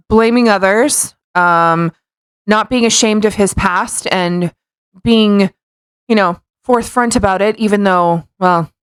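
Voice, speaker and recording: Clear-voiced sarcastic woman, close dry sound. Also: mid-range voice, no echo. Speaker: podcast co-host. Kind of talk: casual chat.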